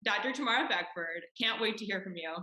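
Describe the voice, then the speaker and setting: A woman's voice: mid-range voice, animated, quick pace, sharp tone. A woman hosting an interview podcast, introducing her guest with upbeat enthusiasm. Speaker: podcast host. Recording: echoey room.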